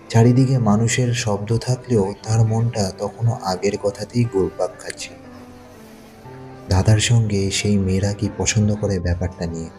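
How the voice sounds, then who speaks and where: Male narrator for a thriller audio story, lowish and mellow, faint music underneath.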